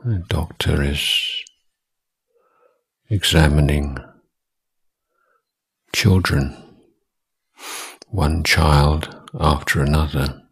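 A man with an extremely low, husky voice leads a guided meditation. He speaks very slowly and deliberately, setting down short phrases with long pauses between them. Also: fairly even intonation, rough recording. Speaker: Buddhist monk and meditation teacher.

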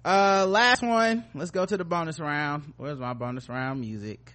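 A man's voice: fairly high pitch, a little slow, a bit bright. A man hosting a podcast in casual, joking banter with informal, profane language. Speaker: podcast host.

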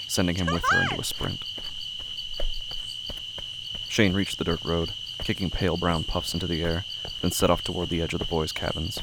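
A man reading scripted horror drama narration in a measured, literary, solemn way. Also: low-pitched, wildly swooping pitch, very noisy. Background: night crickets and insects.

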